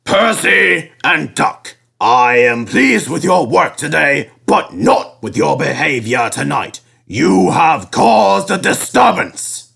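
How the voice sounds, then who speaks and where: A man voicing a stout, stern railway boss who booms out orders in a storybook reading. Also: big pitch swings, slow pace, creaky, hardly any pauses, fairly bright tone, husky, full character voice. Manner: stern, booming.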